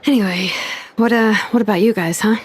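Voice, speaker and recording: A woman voicing a wry young engineer who muses aloud in an animated way. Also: mid-range voice, fairly quick, breathy edge, lightly acted.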